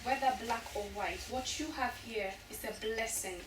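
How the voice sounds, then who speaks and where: A woman's voice: fairly high pitch, moderate pace. A woman on a panel making an earnest, pleading appeal to young people. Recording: echoey hall from far off, noisy.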